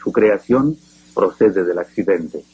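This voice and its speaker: A man narrating a documentary in a grave, deliberate scripted voice. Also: low voice, animated, a little mellow, breathy edge.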